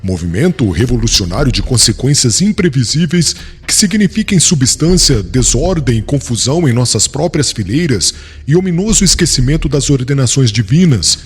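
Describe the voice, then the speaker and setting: Male actor as vengeful young ancient prosecutor, very husky, formal declamation, animated intonation, long reverb, audiobook drama. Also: mid-range voice, normal speed. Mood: stern, indignant. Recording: long cavernous reverb, music underneath.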